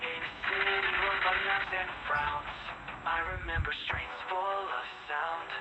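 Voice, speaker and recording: A woman's voice: lowish voice, fairly even intonation, a little slow. A woman performing an eerie dystopian song in half-spoken, half-sung verses. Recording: dull lo-fi radio sound under music. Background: music.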